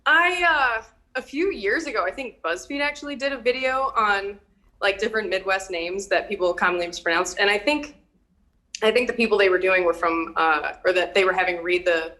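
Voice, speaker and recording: A woman's voice: mid-range voice, a little fast, pushed and bright. A woman from Minnesota with a light Minnesotan accent, chatting casually and laughing often as a guest over a video call. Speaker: hairstylist and TikTok creator, guest. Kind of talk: casual chat.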